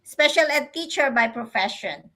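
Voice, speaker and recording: A woman's voice: fairly high pitch, expressive, normal speed, pushed and bright. A grandmother from the Philippines co-hosting a casual business livestream, laughing often and repeating phrases. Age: older. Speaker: online business mentor and livestream co-host.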